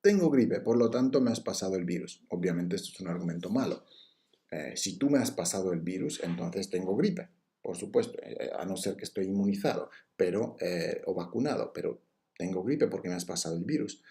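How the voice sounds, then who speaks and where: Hombre de voz grave y rasposa. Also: male voice, sits low, lively intonation, fairly quick, mellow. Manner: explanatory, hesitant with frequent fillers and restarts. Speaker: university lecturer. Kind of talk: recorded logic lecture.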